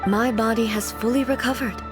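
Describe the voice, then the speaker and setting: A woman's voice: on the high side, fairly even intonation, lightly acted. Woman playing a solemn, dignified immortal ruler. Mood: reflective. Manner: formal, measured, restrained. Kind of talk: video game voice acting. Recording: music under the voice.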